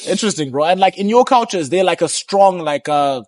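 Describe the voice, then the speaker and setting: A man's voice: sits high, animated, brisk, no room sound. Laid-back young man interviewing, bro-talk. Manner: laid-back, says "bro" often, repeats "I get you". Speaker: street interviewer and vlog host. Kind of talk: casual street interview. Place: outdoors on a city street.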